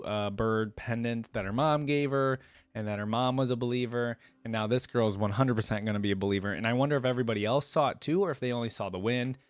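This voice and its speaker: A man hosting a reaction video, giving casual, informal commentary and thinking out loud with enthusiasm. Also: lowish voice, smooth, dry recording. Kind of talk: casual reaction commentary with some reading aloud.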